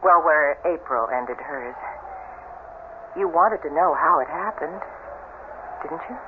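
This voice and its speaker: An actress playing a sardonic, knowing sister-in-law with a macabre streak in a 1970s radio drama, delivering lines in an arch, dramatic way. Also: female voice, low voice, takes it slowly. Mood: cool, knowing. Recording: very noisy, tinny old radio broadcast recording.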